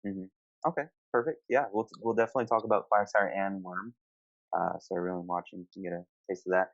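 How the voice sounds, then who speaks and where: A man with a lowish voice moderates a gaming discussion in an informal, affirming way. He asks his guests questions casually. The audio is somewhat dull and lo-fi, like an online voice call. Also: steady tone, fast talker. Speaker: host of a gaming discussion panel.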